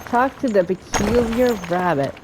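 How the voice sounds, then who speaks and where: A woman's voice: mid-range voice, lively intonation, measured pace, background noise. A woman hosting a gaming video, chatting casually and thinking aloud as she plays and reads quest text. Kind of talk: casual let's play commentary, reading quest dialogue aloud. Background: video game music.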